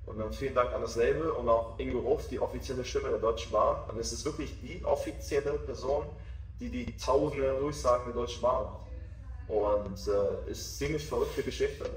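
A man's voice: mid-range voice, moderate pace, recorded from a distance, a little hiss or hum. Self-assured young man in an echoey room. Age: 20s. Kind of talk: casual interview. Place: small backstage room with sofa, indoors.

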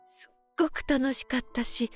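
A woman playing a frail, gentle elderly mother in a voice drama, speaking softly and tenderly as she reminisces. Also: high-pitched, fairly even intonation, breathy edge, soft background music. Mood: weak, tender. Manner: soft, tender, unhurried. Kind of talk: voice drama acting and first-person narration.

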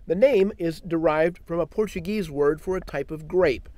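A man's voice: a little high, moderate pace, warm tone, slight background noise. Man narrating a science segment. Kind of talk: scripted narration.

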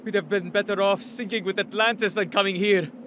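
An actor playing a bitter, despairing exile in a video game, agitated and complaining. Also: male voice, sits high, fairly even intonation, fairly quick, fairly bright tone, a little hiss or hum. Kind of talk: dramatic video game cutscene acting. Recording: faint outdoor ambience behind.

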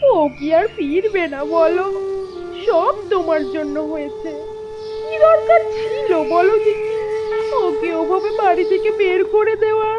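A woman's voice: very high-pitched, measured pace. A woman voicing an anxious, fretful village mother in a cartoon, with a plaintive, melodramatic delivery. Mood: worried, reproachful. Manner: plaintive, scolding. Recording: dull lo-fi audio, loud music.

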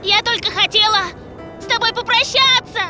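A small girl airplane from a children's cartoon. She sounds extremely high-pitched, touchy and emotional, with dramatic indignation. Music runs behind the voice. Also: moderate pace, pushed and bright, exaggerated voice. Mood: upset. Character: huffy, emotional little girl toy airplane.

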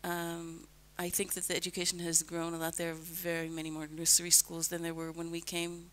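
An older woman from the United States answering questions in a calm, measured, earnest way on a radio interview, speaking into a headset mic in a broadcast booth. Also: fairly low pitch, a little hiss or hum. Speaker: guest, resident.

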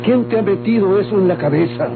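A man's voice: high-pitched, fast. An actor playing a proud, outraged rancher father in an old Mexican radio drama, declaiming indignantly with a Mexican accent. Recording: tinny, humming old radio recording with music.

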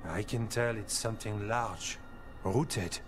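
An actor playing an earnest young male elf alchemist in a video game, speaking in a thoughtful, measured way. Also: fairly low pitch. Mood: uneasy, thoughtful. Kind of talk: video game dialogue acting. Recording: music behind the voice.

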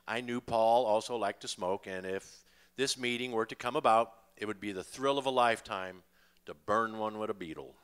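An older American man reads his own stories into a stage mic. His voice is forceful and bright at an ordinary male pitch, and he tells it expressively, letting pauses land. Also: smooth. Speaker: author and musician reading from his memoir. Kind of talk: live book reading to an audience. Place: stage in a small dim music venue. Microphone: vocal mic on a boom stand, close.

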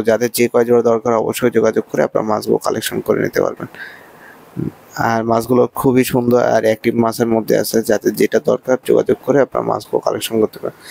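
A man's voice: mid-range voice, gravelly, a little mellow. A man with a Bangladeshi accent presenting goods in a promotional shop-tour commentary, with repetitive sales patter. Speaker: presenter showing fish for sale.

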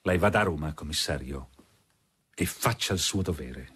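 An actor playing a stern, unforgiving middle-aged male lawyer in a radio drama, husky and formally emphatic. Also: very deep voice, lively intonation, normal speed, fairly bright tone, exaggerated voice. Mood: cold, determined.

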